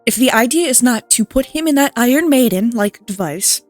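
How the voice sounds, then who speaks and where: A woman giving casual, gushing gameplay reaction commentary, excitable and prone to swearing. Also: fairly high pitch, a little fast, no room sound. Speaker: gaming content creator. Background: game music and sound.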